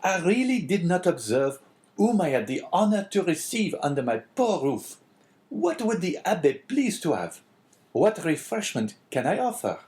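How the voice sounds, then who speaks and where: A man's voice: fairly high pitch, very animated, moderate pace, a little mellow, pitched above the performer's own voice. A man voicing an obsequious, talkative French innkeeper who is eager to please, in a storybook reading. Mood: flustered, servile. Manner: fawning, effusive, talkative.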